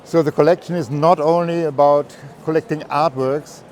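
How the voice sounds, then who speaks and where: A man's voice: fairly high pitch, fairly even intonation, moderate pace, a little mellow. A man chatting casually as a guest, an art collector in conversation. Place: art fair hall, on a walking tour. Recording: faint fair-hall background noise.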